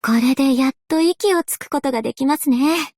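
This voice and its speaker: High-pitched, genteel young woman scholar. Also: a little fast, darkish tone, no echo. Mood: courteous, gentle. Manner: very formal, courteous, refined phrasing. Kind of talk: scripted game character voice lines.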